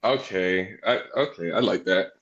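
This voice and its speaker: A man's voice: low-pitched, moderate pace, a bit bright. A man co-hosting a podcast, asking questions with many fillers and restarts. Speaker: podcast co-host. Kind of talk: casual interview. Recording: dull lo-fi call audio.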